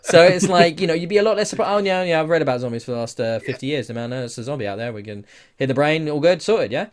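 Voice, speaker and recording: A man's voice: a little high, animated, fast. A man in casual podcast banter, conversational and often ending his points on tag questions. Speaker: podcast co-host.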